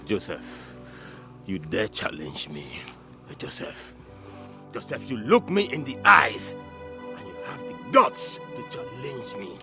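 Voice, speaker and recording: An actor playing a proud, domineering older brother in a Nigerian film drama, with an Igbo Nigerian accent, mixing English and Igbo threateningly. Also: male voice, medium pitch, sing-song, unhurried, gravelly, music in the background. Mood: menacing. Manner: switches between English and Igbo.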